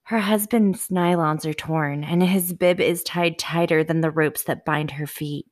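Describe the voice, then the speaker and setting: Woman playing a low-voiced steamy audiobook narrator. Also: steady tone, a little slow. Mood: sultry, measured.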